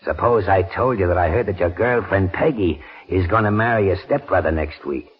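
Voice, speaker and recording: Low-voiced cynical old-time radio convict. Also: male voice, expressive, fairly quick. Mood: sly, needling. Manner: slangy, goading, tough-guy delivery. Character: cynical, ruthless male convict plotting a jailbreak. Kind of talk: 1940s radio crime drama acting. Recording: dull lo-fi 1940s radio recording.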